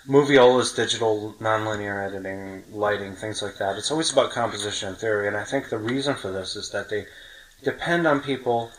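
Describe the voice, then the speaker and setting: A man's voice: fairly low pitch, a bit bright, low-quality recording. A man hosting a podcast, talking casually and explaining his opinions at length. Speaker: podcast host.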